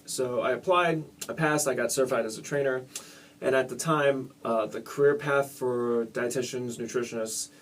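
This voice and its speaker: A young man, from Hong Kong, talks through his story as a fitness coach in a relaxed, casual way, filling pauses with ums and uhs. His voice sits at an ordinary middle pitch. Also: a little hiss or hum. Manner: casual, laid-back, many ums and uhs. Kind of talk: relaxed interview answers.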